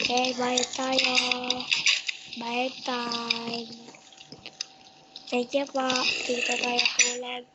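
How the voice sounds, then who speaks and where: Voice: medium pitch, completely flat delivery, extremely slow, pauses often, highs cut off. A child with a Filipino accent chatting casually while playing a game, role-playing and repeating short phrases to themself. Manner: repetitive, talking to self in short phrases. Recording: very noisy home recording with clicks.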